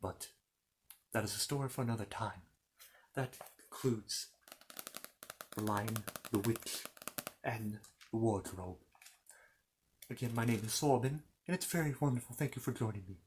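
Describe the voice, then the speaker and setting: A man's voice: fairly low pitch, a little slow, pauses often, slight background noise, low-quality recording. A man telling a children's fantasy story in an informal ASMR style, rambling along with playful, courteous asides to the listener.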